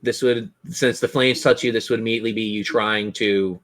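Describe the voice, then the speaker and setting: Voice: medium pitch, steady tone, brisk. A game master running a tabletop roleplaying game, narrating scenes in a theatrical, suspenseful way.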